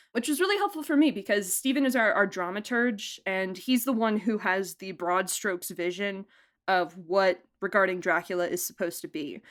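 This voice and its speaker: A woman talking through behind-the-scenes stories in a relaxed, chatty way, full of fillers and quick laughs. Her voice sits at an ordinary middle pitch. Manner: chatty, animated, laughs often, uses "like" a lot. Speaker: producer and director of an audio drama. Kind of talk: casual interview conversation.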